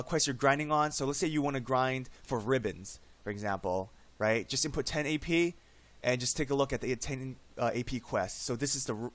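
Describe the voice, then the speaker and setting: A man recovering from a cold, his voice slightly hoarse, chatting casually through a screen-recorded game tutorial and tagging "right?" onto his sentences. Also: mid-range voice, fairly bright tone. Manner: chatty, frequent "right?" tag questions. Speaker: game guide YouTuber.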